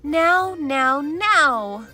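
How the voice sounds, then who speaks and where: Storybook frog kid played by a woman: impatient, insistent, bright, swooping delivery over light music. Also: high-pitched, expressive, drawn-out words, pitched above the performer's own voice, heavily acted. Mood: demanding. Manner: whiny, insistent, demanding. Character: impatient demanding little boy frog, shouting for everything now.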